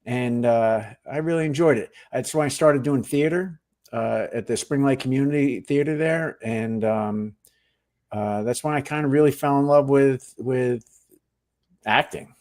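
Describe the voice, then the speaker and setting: A middle-aged man from New Jersey, a guest in a relaxed interview, telling stories in a self-deprecating way that often trails off into low asides. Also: measured pace. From New Jersey, USA. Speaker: guest, actor and Marine veteran. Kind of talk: casual interview chat.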